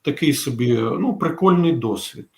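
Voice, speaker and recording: A man speaking in a serious unscripted discussion, halting and deliberate, pausing as he searches for words. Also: mid-range voice, lively intonation, darkish tone.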